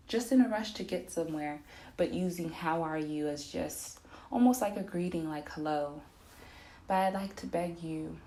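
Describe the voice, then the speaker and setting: Female meditation guide, gentle and soothing, very slow pacing, slightly low register, informal delivery. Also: slight background noise.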